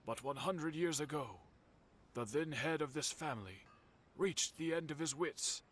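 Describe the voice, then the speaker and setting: An actor playing a solemn, courteous male storyteller in a video game, speaking in formal, measured phrases. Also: on the high side, a bit bright, husky. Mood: grave, sorrowful. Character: solemn, courteous storyteller recounting old family tragedy.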